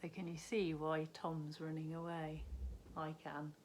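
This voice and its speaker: A woman's voice: deep voice, little pitch movement, a little slow, darkish tone, a little hiss or hum. A woman, a primary teacher reading a story expressively to young children, with chatty asides.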